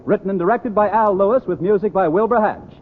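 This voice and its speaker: A man's voice: high voice, fast talker, a little hiss or hum. A man announcing from a script in the formal style of a 1940s radio show. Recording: tinny old radio broadcast with music. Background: music.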